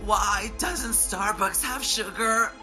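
An actor playing a feeble, melodramatic dying drag queen in a campy comedic radio play, gasping out drawn-out words. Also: medium pitch, lightly acted. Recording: music behind the voice.

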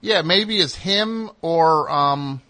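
Excitable rambling male radio host. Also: slow. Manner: excitable, rambling, jumps between high and low. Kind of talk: casual comedic phone chat. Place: radio studio.

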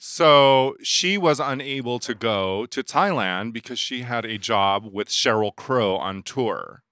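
A man in his forties, a makeup artist, telling stories in a casual interview, animated and laughing often as he acts out dialogue. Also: medium pitch, takes it slowly, no echo, studio quality.

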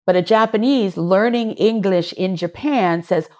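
A woman actor being interviewed, telling lively stories, often laughing and briefly acting out voices. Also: mid-range voice, unhurried. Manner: animated, laughing, acts out voices and gestures. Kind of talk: conversational interview storytelling.

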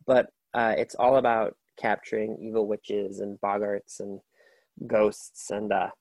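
A man with a low, gravelly voice hosts a book podcast on his own. He rambles warmly through his picks, full of ums and restarts. The sound is close and dry. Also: level tone, unhurried, no room sound. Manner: rambling, filler-heavy, enthusiastic. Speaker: podcast host. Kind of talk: casual solo book recommendations.

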